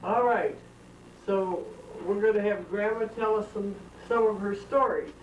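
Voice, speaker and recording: A relative filming a family gathering, casually introducing everyone and gently steering an older relative's storytelling. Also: medium pitch, a little slow. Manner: relaxed, organizing, gently steering the talk. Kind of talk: casual home video narration. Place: family home in Arizona. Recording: roomy, distant, dull lo-fi home tape with faint hiss.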